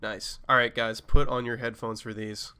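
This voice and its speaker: Stuffy-nosed male reviewer, laid-back, mid-pitched, quick reactions, music playing behind. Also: little pitch movement, sharp tone, smooth. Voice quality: nasal. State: sick with a cold. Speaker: sample pack reviewer. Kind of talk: casual review commentary.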